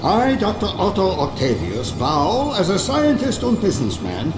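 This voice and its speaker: Grandiose mad scientist villain, highish voice, pompous speeches, echoey game cutscene. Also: male voice, wildly swooping pitch, moderate pace, background noise. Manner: pompous, oratorical, theatrical. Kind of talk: video game voice acting. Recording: echoing hall sound, music behind.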